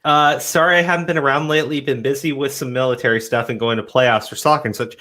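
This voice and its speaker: A man's voice: mid-range voice, fairly even intonation, quick pace, bright, forceful tone. Coughing laid-back male stream host. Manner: rambling, stumbling restarts, laid-back. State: coughing with a cold. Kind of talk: casual live-stream chat.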